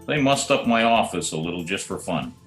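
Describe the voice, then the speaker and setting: A man's voice: deep voice, fairly quick. An actor playing a wry, hard-boiled private detective who narrates his own case in an old-time radio drama. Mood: deadpan. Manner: wry, deadpan wisecracking. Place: at home, recorded remotely. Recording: roomy remote home recording, music behind.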